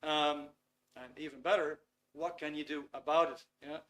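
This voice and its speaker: A man's voice: on the high side, fairly even intonation, moderate pace, fairly bright tone, low-quality recording. A man from the Netherlands with a Dutch accent, hosting a live webinar from a small studio, chatting casually with lots of fillers and reading viewers' messages aloud. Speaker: webinar host. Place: small warm studio under lights.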